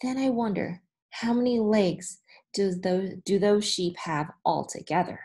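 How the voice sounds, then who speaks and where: A woman in her thirties reading a children's story aloud like a teacher, deliberate and expressive, pausing between phrases. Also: mid-range voice. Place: small room in front of a whiteboard, indoors.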